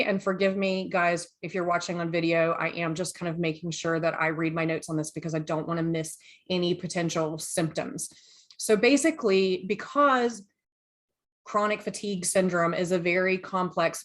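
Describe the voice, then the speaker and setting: A woman from the United States hosting a podcast, chatting enthusiastically and sometimes reading from her notes. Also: fairly low pitch. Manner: chatty, enthusiastic, lively asides. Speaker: podcast host.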